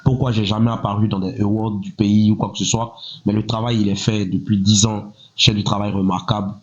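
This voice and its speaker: A man in his thirties from Côte d'Ivoire, a rapper speaking in a measured, self-assured way, often pausing on "voilà" and asking himself rhetorical questions. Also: lowish voice, flat delivery. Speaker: rapper, interview guest. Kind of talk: casual interview talk. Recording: slightly muffled, lo-fi sound.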